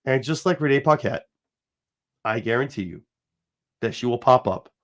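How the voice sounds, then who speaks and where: A man's voice: mid-range voice, lively intonation, moderate pace. A man in his forties with a slight cold, hosting a casual commentary livestream in a rambling, emphatic way, talking straight to viewers. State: has a cold, sniffling. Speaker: show host.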